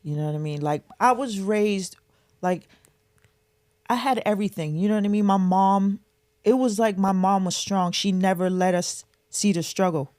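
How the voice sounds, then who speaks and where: A woman's voice: medium pitch, moderate pace, a little mellow, dry recording, studio quality. A middle-aged woman from the Boston area talks in a mid-range voice with very little room sound. She reminisces casually and candidly, repeating phrases to press her point. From Boston area, Massachusetts. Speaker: interview guest, mother.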